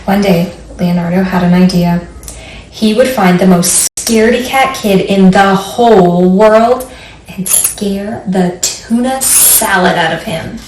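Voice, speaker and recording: A woman's voice: mid-range voice, slow, a little mellow, recorded from a distance. A woman librarian leading a children's storytime, speaking simply and encouragingly to young listeners and reading aloud. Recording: roomy echo, faint background noise.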